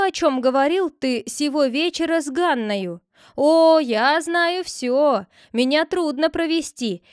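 A woman voicing a sharp-tongued village woman who scolds and threatens. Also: sits high, no echo, studio quality, voice pushed up.

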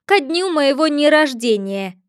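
Lady doing a snooty egg guy from a storybook, bossy and loud, kinda high. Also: lively intonation, no echo, studio quality. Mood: condescending. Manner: haughty, lecturing, quick to take offense. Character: pompous touchy male egg figure.

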